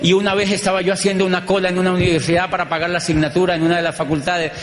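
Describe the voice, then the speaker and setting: A middle-aged Colombian man with a Colombian accent, giving an animated storytelling talk to an audience, using rhythmic repetition. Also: high voice, rapid-fire. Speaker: motivational speaker. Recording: echoey hall with long reverb.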